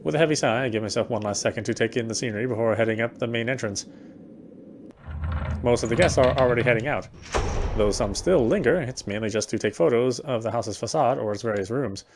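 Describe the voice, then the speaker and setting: A man's voice: medium pitch, fast talker, noisy background. A man playing a game and reading its first-person story narration aloud in a careful way, now and then stumbling and correcting himself. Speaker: game player reading a visual novel aloud.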